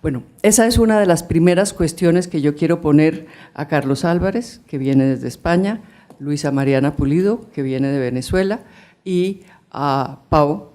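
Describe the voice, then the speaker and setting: Panel moderator in a lecture hall, mellow tone, thinks out loud with ums. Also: medium pitch. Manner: thoughtful, pauses with fillers.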